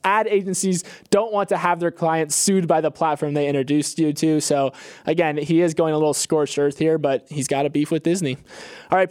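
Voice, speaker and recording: Higher-pitched man co-hosting a podcast, dry sound. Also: a little mellow, dry recording. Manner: playful, slangy. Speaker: podcast co-host. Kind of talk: casual news banter with scripted segment intros.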